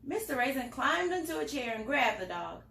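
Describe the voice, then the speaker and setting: A woman's voice: sits high, very animated, normal speed, vocal fry, a bit bright. A woman reading a picture book aloud to children, expressively and with little asides to the listeners. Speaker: library storytime reader. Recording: roomy, echoing indoor sound.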